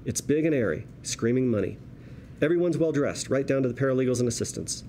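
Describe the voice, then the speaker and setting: A man with a dark, mellow voice of middling pitch narrates a script. He reads the stage directions at a table read, with faint noise behind him. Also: animated, fast talker. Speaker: narrator reading screenplay directions.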